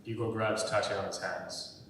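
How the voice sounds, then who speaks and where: Low-voiced male narrator in an echoey hall. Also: fairly even intonation, unhurried, a bit bright. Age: young adult. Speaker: stage direction narrator. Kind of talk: scripted narration. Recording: very echoey hall, distant mic, faint rumbling noise.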